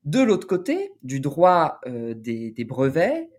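Mellow-voiced male researcher explaining ideas. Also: fairly high pitch, huge pitch swings, slow. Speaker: interviewed doctoral researcher. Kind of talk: academic interview, explanatory.

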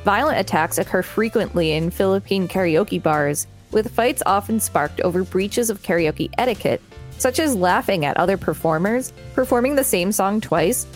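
A woman's voice: mid-range voice, expressive, normal speed, faint music behind. A woman co-hosting a podcast, reading articles aloud with casual remarks. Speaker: podcast co-host.

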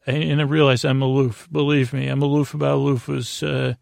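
Man, heavy vocal fry, mid-pitched, podcast host, hesitant stammering, meandering whimsical monologue, dry sound. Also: little pitch movement, brisk, no room sound. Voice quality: gravelly, creaky. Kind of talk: rambling solo banter monologue.

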